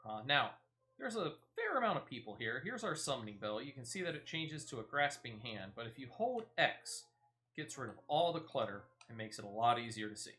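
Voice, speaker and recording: A man's voice: mid-range voice, a bit bright. A man giving casual, chatty gameplay commentary, thinking aloud with a playful, mildly self-deprecating touch. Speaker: gaming streamer.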